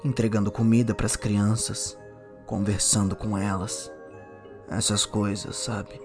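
A man's voice: on the low side, little pitch movement, a little slow, music in the background. A man playing a persuasive restaurant owner, explaining in a coaxing way in an audio drama. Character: upbeat, persuasive restaurant owner briefing a worker.

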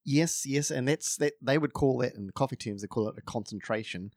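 New Zealand-accented man, mid-pitched, dry close mic, podcast co-host who explains things. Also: fairly quick, a little mellow, no room sound. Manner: explanatory, confident, restarts mid-sentence. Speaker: coffee expert co-host. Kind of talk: casual podcast chat.

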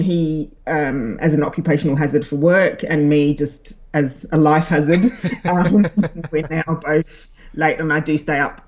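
A woman's voice: sits low, muffled recording. A woman with a London accent and a slight Australian twang, chatting as a podcast guest late at night and laughing easily between her ums. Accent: London English with a slight Australian twang. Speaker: guest author. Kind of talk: casual interview chat. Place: home in Sydney, late at night.

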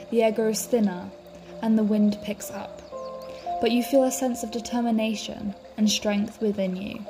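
A woman leading a guided meditation as a podcast host, speaking in a calm, soothing, measured way. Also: on the high side. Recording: music behind the voice. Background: background music.

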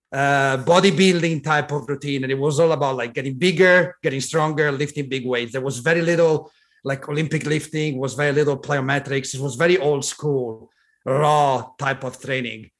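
A man in his thirties from Italy with an Italian accent, telling his story as a guest in a relaxed, rambling way full of "like" and restarts. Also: medium pitch. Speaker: guest, strength coach. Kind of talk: casual conversational storytelling.

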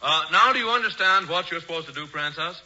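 A man's voice: on the high side, expressive, quick pace, over music, natural voice. A man playing a prissy, haughty young rich schemer in a 1940s radio comedy. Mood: fussy, disdainful. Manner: prissy, haughty, hesitant uh-filled phrasing. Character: Hubert, snooty, fussy rich young schemer. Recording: muffled, noisy 1940s radio broadcast.

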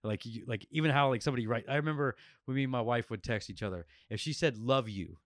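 A man's voice: medium pitch, dry recording. Reflective male podcast host, dry close sound. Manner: reflective, candid, halting with many restarts. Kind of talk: casual podcast chat.

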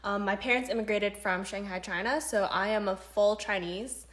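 A woman's voice: medium pitch, moderate pace, a bit bright. A young woman with a Canadian accent co-hosting a casual podcast in a playful, self-deprecating manner. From Canada, born and raised in Vancouver. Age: young adult. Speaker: podcast co-host.

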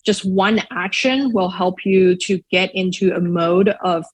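A woman from Shanghai with a Chinese accent, answering interview questions thoughtfully and filling pauses with "um" and "like". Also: medium pitch, slightly rough. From Shanghai, China. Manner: thoughtful, many fillers like "um" and "like". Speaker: podcast guest.

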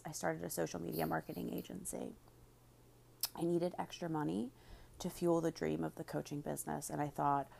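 A woman hosting a podcast, speaking directly to her listeners in a slightly raspy voice. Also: deep voice. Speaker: podcast host and business coach. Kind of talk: podcast monologue, promotional then casual chat.

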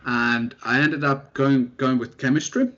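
A young man from Finland speaks in an easygoing, self-deprecating way, pausing often with fillers. His voice sits at a middle pitch. The recording sounds somewhat dull and lo-fi. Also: steady tone, a bit bright. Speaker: guest researcher. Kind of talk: casual interview chat.

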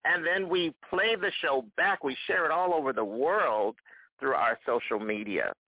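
A man's voice: a little high, normal speed, no echo. A man hosting a live radio morning show, chatty and repeating words as he goes. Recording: thin phone-like dry sound.

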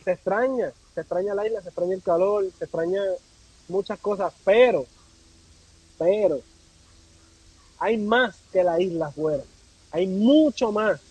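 High-voiced husky Puerto Rican man, reflective. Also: measured pace, long pauses, a little mellow, dry recording, poor audio. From Puerto Rico. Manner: reflective, philosophical, repeats phrases for emphasis. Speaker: podcast guest, travel content creator. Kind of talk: casual conversational interview.

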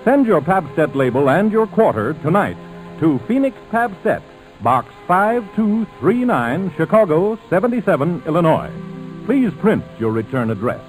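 Animated American radio pitchman, faint music. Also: normal speed, low-quality recording. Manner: polished, salesmanlike. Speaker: commercial announcer. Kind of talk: 1940s radio advertising pitch. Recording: old 1940s radio broadcast.

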